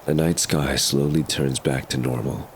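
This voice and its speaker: Extremely deep-voiced male narrator. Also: steady tone, unhurried. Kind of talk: scripted audio drama narration. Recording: clear background ambience noise.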